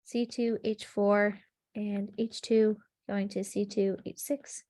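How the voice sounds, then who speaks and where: A young woman runs an online chemistry review over a video call. She sounds sniffly and congested, and she explains steps in a friendly, rambling way full of ums, at a middling pitch for a woman. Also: level tone, takes it slowly, dark tone. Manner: friendly, filler-heavy explaining with frequent ums. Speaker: chemistry review session leader.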